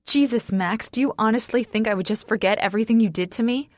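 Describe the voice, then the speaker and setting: A woman's voice: mid-range voice, steady tone, unhurried, darkish tone, no echo, natural voice. A woman narrator playing a distraught, defensive wife in an audiobook, pleading and emotionally raw. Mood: shaken, defensive.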